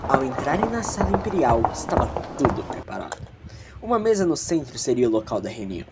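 A man's voice: medium pitch, big pitch swings, fairly quick, very noisy. A man with a Brazilian accent narrating an audio drama theatrically, with casual, playfully snobbish asides.

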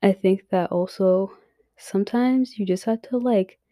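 A woman's voice: mid-range voice, unhurried, mellow, dry recording, studio quality. A young woman hosting a podcast, giving frank advice in a casual monologue full of fillers and swearing, sometimes dropping to a whisper, her voice worn as she loses it. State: losing her voice. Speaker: podcast host.

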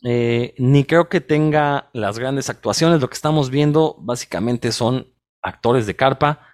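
A man's voice: mid-range voice, a little slow, a little mellow. A man with a Mexico City chilango accent talks as a podcast commentator, in a mid-range voice. He argues his opinions emphatically and often tacks on tag questions. The sound is dry, with no room echo. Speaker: podcast co-host and film commentator. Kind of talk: opinionated film discussion.

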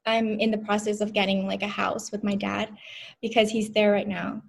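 A young adult woman from Vietnam, whose first language is Vietnamese, talks as a podcast guest in an easy, earnest way. She chats at length and often pauses with small fillers. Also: mid-range voice, level tone, vocal fry. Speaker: guest, physician assistant. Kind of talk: casual interview.

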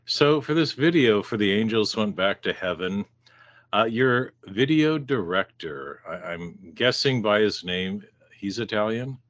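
Easygoing male interviewer, low voice. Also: animated, measured pace, a bit bright, no echo. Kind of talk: casual interview questions.

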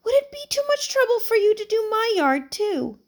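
A woman voicing a frail, kindly old lady of ninety-two with a feeble, high-pitched, gushing voice. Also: sing-song, full character voice. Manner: chirpy, slow and gushing.

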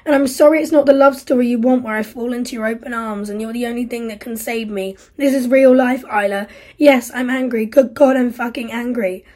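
A woman's voice: fairly high pitch, steady tone, moderate pace, dark tone, husky. Woman reading as an anguished, furious young man. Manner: anguished, bitter, raised voice. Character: grief-stricken angry young man, survivor.

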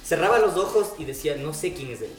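A man's voice: fairly high pitch, lively intonation, fast. A man with an Ecuadorian accent, hosting a podcast interview in a casual, colloquial and somewhat hesitant way. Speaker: podcast host interviewing a guest. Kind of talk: casual chat. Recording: roomy echo, clear music behind. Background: music.

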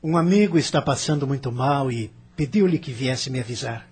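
A man's voice: mid-range voice, moderate pace, darkish tone, husky. A man with a Brazilian accent playing a guarded, evasive husband in a radio soap, curt and reserved. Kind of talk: radio soap opera acting.

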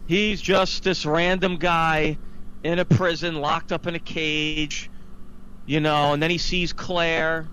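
A man's voice: fairly high pitch, sharp tone, rough recording. A man hosting a casual podcast, giving an exasperated, opinionated recap of films in a ranting style. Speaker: podcast host. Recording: clear background noise.

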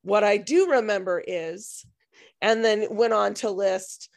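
A woman co-host whose voice is hoarse and fraying. There is a gravelly fry in her tone, and she tells stories in a casual, rambling way with plenty of restarts. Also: mid-range voice, measured pace. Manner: rambling, self-interrupting storytelling. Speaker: podcast co-host and music teacher. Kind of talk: casual podcast chat.

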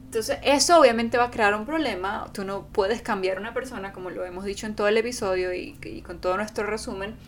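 A woman's voice: mid-range voice, fast talker, a little hiss or hum. A woman co-hosting a casual couple podcast, talking earnestly and acting out the things people say. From Venezuela. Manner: earnest, animated, acts out quoted speech. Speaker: podcast co-host.

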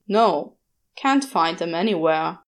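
A woman's voice: fairly low pitch, animated, slow pace, voice pushed down. A woman voicing a wily, easily vexed male demon who mutters, grumbles and snarls. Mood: casual.

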